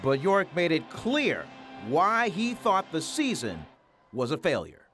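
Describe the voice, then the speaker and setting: A man's voice: fairly high pitch, wildly swooping pitch, slow, fairly bright tone, soft background music. A man anchoring a television sportscast with a punchy, playful delivery. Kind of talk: lively sportscast, scripted narration. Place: TV news studio.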